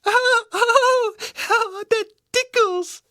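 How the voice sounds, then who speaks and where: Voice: extremely high pitch, drawn-out words, pushed and bright, no echo, clean studio sound, voice pushed up, full character voice. A storyteller voicing a timid, frightened little girl in a children's storybook tale. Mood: giggly.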